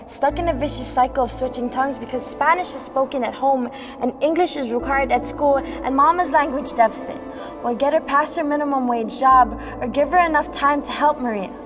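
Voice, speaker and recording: A high-pitched woman's voice delivers a slam poem. She is earnest and rhythmic as she tells her story, with music clearly heard behind her. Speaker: slam poet. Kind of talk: performed spoken-word poetry.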